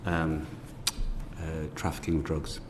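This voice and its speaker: Deep-voiced man questioning in a hall. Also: flat delivery, a little mellow. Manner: measured, polite, hedging questions. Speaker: committee member questioning witnesses. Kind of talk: formal committee questioning. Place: parliamentary committee room. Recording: reverberant hall with faint noise.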